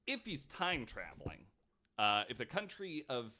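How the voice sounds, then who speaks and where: A man's voice: mid-range voice, wildly swooping pitch, unhurried, pushed and bright. A man hosting a podcast, telling a story in casual chat, reading quotes aloud with lots of fillers. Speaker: podcast host.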